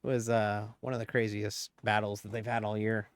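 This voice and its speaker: A man with a lowish voice talks his way through a sim race, chatty and rambling, often chuckling at his own mistakes. The sound is dry and close, with no room around it. He streams from a home setup. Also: flat delivery, a little fast, no room sound. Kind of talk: casual live-stream commentary while racing.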